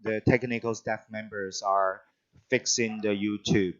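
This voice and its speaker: A man hosting an online performance event over a video call, talking in a halting way with many restarts. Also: lowish voice, fairly even intonation, a bit bright, muffled recording. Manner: halting, with frequent restarts and fillers. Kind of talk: live-stream hosting, introducing guests.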